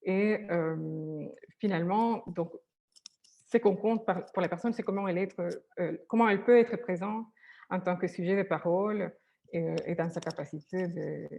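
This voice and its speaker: A woman's voice: fairly low pitch, normal speed, creaky, mellow. A woman therapist whose first language is Spanish, speaking hesitantly with many restarts and fillers.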